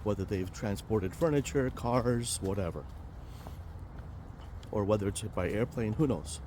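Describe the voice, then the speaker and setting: A man chats his way through a casual outdoor vlog, wandering from point to point with plenty of fillers and restarts. His voice is mellow and warm, and a faint hum of outdoor noise and traffic sits under it. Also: on the low side, unhurried. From Romania. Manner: rambling, chatty, many fillers and restarts. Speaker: vlog host, financial planner. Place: outdoors in a park near a highway.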